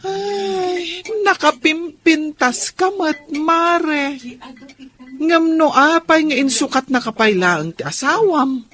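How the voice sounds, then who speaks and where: A woman playing a caring, practical friend in a radio drama, expressive and deliberate. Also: high voice. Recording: noisy recording with background ambience.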